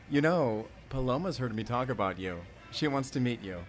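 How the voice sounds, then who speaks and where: A man's voice: medium pitch, animated, a little slow, soft background music, natural voice. A man playing a friendly, playful young father in an intimate audio roleplay, chatting with bashful chuckles. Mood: cheerful, playful. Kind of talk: intimate audio roleplay acting, addressing the listener.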